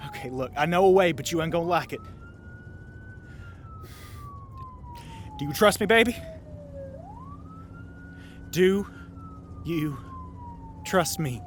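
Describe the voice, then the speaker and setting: A man's voice: high voice, wildly swooping pitch, measured pace, long pauses, a little hiss or hum, exaggerated voice. A man voicing a volatile, scheming bank robber in an audio roleplay, with a folksy Southern US accent and coaxing pet names for his partner. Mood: scheming, urgent.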